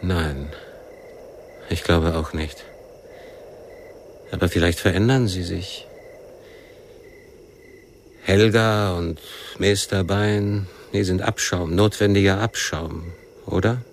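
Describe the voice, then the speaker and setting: Radio crime drama: world-weary militant captain, deep register, cool interrogator, slightly noisy recording. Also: male voice. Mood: cool, probing. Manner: measured interrogation with abrupt probing questions. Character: world-weary, shrewd Palestinian guerrilla captain, coolly probing.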